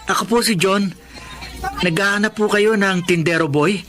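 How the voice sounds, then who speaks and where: Earnest young Filipino man, higher-pitched voice. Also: normal speed, fairly bright tone. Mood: hopeful, shy. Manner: earnest, polite, deferential. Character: hardworking, polite young orphan man seeking work. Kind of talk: Tagalog radio drama acting.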